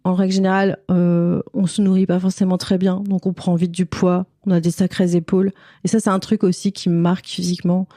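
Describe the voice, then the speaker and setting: A woman's voice: fairly low pitch, flat delivery, brisk, few pauses, mellow, no echo, studio quality. A woman from France, speaking candidly and informally as a guest in a casual interview. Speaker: podcast guest, cleaning lady and visual artist.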